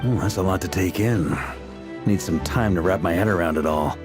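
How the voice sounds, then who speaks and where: An actor playing a hearty, wry, seasoned knight commander in a video game cutscene, talking casually and with self-deprecation. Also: male voice, low voice, moderate pace, lightly acted. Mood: easygoing. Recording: game music behind the voice.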